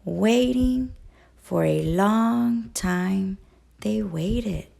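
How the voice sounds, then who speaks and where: A woman who is a teacher, reading a picture book aloud to children in a gentle, expressive storytelling manner. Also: mid-range voice, extremely slow, creaky.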